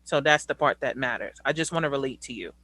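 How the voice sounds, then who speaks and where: A young woman hosts a casual group conversation in a bright, forward voice. She talks bluntly and keeps it real. The sound is dry and close. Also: a bass voice, expressive, quick pace, husky, no echo. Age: 20s. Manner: blunt, outspoken. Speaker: host.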